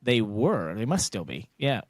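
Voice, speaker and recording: A man's voice: lowish voice, big pitch swings, unhurried, no echo, clean studio sound. An American man hosting a casual sports podcast, talking bluntly and emphatically in long, rambling turns. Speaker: podcast host, racing driver.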